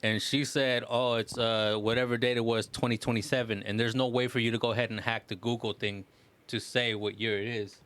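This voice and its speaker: A man's voice: medium pitch, fairly quick, clean tone. Slangy man chatting on a podcast. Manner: excitable storytelling, slangy, profane, frequent "bro" and "like". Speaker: podcast co-host. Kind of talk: casual podcast chat.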